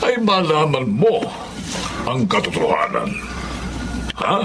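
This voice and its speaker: A man's voice: mid-range voice, huge pitch swings, slow, noisy background, full character voice. A man playing an old grandfather, earnest and pleading, in Filipino radio drama style. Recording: loud dramatic music behind the voice.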